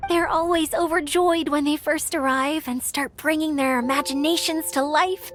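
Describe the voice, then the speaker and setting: A woman voicing a gentle, modest young female guide who speaks earnestly and hesitantly in a video game cutscene. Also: sits high, fairly even intonation, moderate pace, natural voice. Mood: gentle, reflective. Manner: soft-hearted, earnest, hesitant. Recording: background music under the voice.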